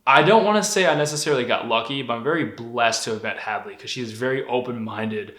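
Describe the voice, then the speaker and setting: Young guy vlogging, cusses a lot, candid, bright sound, room echo all over it. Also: medium pitch, fast. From Denver, Colorado. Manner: candid, profane, animated storytelling. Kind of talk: casual monologue. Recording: echoey room.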